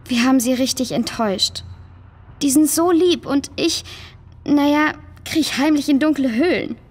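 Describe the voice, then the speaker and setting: A female performer playing a troubled, downhearted girl in a children's audio drama, with a high, husky voice. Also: measured pace. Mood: sad, ashamed. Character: troubled, downhearted foster girl lost in a cave.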